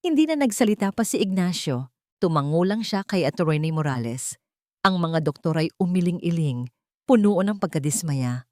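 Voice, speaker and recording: A woman with a fairly low voice narrates a dramatic story in a very dry, close recording. Her delivery is emotive and theatrical, with pitch that moves widely. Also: expressive, normal speed, mellow, dry recording.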